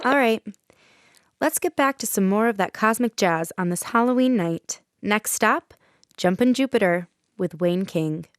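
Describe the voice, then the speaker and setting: A woman's voice: mid-range voice, expressive, unhurried, natural voice. A woman playing an upbeat local radio host in a radio drama styled as a live broadcast. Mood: intrigued, chatty.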